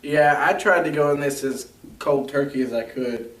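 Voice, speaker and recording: A man's voice: medium pitch, moderate pace, fairly bright tone. A young man co-hosting a casual movie review, chatty and loose, saying "like" a lot. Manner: chatty, lots of "like", acts out scenes. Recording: echoey room, mic far off.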